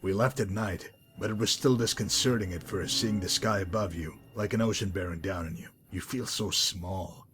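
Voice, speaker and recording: A man playing a calm, menacing hitman with a bitter past in a video game, speaking in a measured, deliberate, coolly condescending way. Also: sits low, lightly acted. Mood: bitter, reflective. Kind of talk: dramatic video game mod monologue and dialogue.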